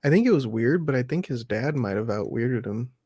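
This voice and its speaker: A man's voice: mid-range voice, animated, fairly quick, mellow, slightly rough, no room sound. A man hosting a film review discussion in a casual, conversational style. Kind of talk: casual chat.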